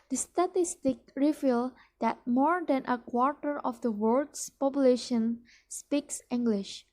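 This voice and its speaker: A woman's voice: high-pitched, warm tone. A young Indonesian woman with an Indonesian accent, whose first language is Indonesian, reading a promotional speech for a mock business ad with careful delivery and frequent restarts. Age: young adult. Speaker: student presenting a mock business ad. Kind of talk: read-aloud promotional speech.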